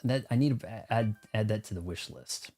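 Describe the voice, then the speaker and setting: Low-voiced man, casual gaming commentary. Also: a little fast, warm tone. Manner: rambling, talking to himself. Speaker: streamer playing a video game. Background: game music.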